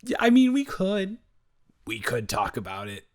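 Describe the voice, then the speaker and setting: A man's voice: mid-range voice, wildly swooping pitch, a little slow. A man improvising an audio roleplay as a flustered, evasive roommate who talks straight to the listener, stammering and joking as he rambles. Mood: awkward, evasive. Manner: rambling, stammering, self-interrupting, playful.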